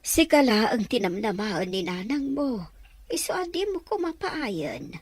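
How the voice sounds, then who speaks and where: A woman playing a doting, hopeful middle-aged aunt in an Ilocano audio drama, with a husky voice. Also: a little high, big pitch swings, a little slow, no echo, heavily acted. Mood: affectionate, encouraging.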